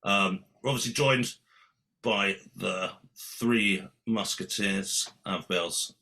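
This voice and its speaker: A man hosting a casual podcast chat, with a soft, soothing voice, joking and rambling. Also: lowish voice, slow pace. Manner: jokey, rambling, laughs often. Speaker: podcast host.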